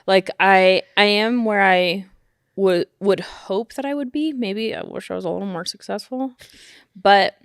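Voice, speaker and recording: A woman of thirty co-hosting a podcast in casual chat, talking in an animated way, often laughing and filling with "like". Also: medium pitch, unhurried, gravelly, clean tone, no room sound, clean studio sound. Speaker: podcast co-host.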